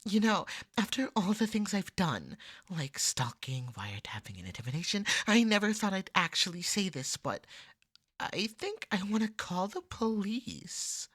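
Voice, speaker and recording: Clingy, jealous cat person played by a woman for ASMR roleplay. Husky, unhurried, exasperated wit, close dry sound. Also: mid-range voice, big pitch swings, a bit bright, dry recording, full character voice. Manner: wry, exasperated, wheedling. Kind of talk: scripted ASMR roleplay acting.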